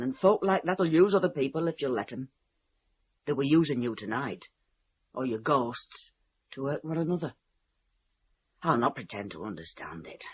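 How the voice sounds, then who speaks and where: A man's voice: medium pitch, breathy edge, muffled recording. An actor playing a kindly, worldly-wise older man, giving firm advice in a radio play.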